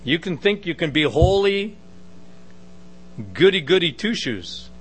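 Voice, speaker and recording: A middle-aged man with a big booming voice preaching a sermon, emphatic with conversational asides. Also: fairly bright tone, slight background noise, poor audio.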